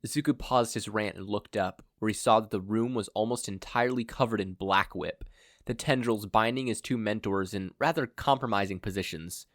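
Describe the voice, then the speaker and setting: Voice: medium pitch, moderate pace, dry recording, clean studio sound. A fan fiction reader of unclear sex giving scripted story narration.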